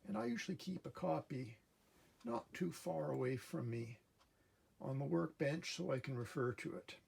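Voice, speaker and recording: A man hosting a casual hands-on repair demonstration at a workbench, explaining methodically step by step in a gravelly, husky voice. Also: mid-range voice, a little mellow. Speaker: host of a repair channel. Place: indoor workbench in a workshop.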